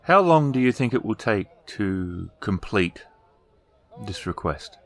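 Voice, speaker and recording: Tabletop game noblewoman, recently widowed, male performer: very low register, unhurried, formal and clipped delivery. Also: sing-song, exaggerated voice. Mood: cool, formal. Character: formal, terse widowed noblewoman requesting her husband's swords.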